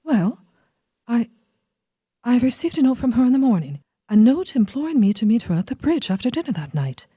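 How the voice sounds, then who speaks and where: An earnest, proper young governess under accusation in an audio drama. Her voice is mellow and husky, halting and emphatic, with melodramatic swings in pitch. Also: very animated. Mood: hesitant. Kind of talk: melodramatic audio theatre acting.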